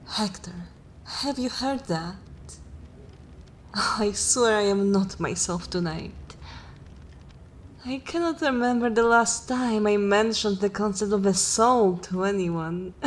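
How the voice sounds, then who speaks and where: A woman voicing a haughty, cruel, centuries-old vampire mistress in a dark fantasy ASMR roleplay, speaking in a formal, commanding and disdainful manner. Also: medium pitch, slight background noise, close to an everyday voice. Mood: amused, condescending. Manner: imperious, slow, disdainful, formal wording.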